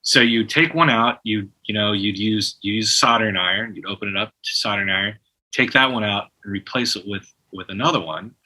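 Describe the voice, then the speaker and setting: A man in his forties, a guest musician in a casual interview, telling an animated story full of restarts and imitated phone tones. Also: on the low side, brisk, low-quality recording.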